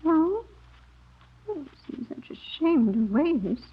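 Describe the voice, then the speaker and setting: High-voiced, fussing old American mother. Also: sing-song, slow, full character voice. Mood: homely. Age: older. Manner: fussing, homely, chatty. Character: fussing, affectionate elderly mother of a detective. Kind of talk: 1950s radio drama acting. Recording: muffled old radio recording, faint noise.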